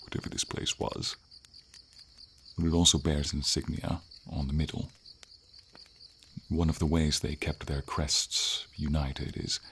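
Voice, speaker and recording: A man voicing a gentle, wise dragon in a fantasy ASMR roleplay, speaking formally in slow, measured phrases with thoughtful pauses. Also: exaggerated voice. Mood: calm, explanatory. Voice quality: very deep, gravelly. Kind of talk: ASMR fantasy roleplay, scripted character acting. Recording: steady hiss of rain and insects behind. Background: light rain and night insects.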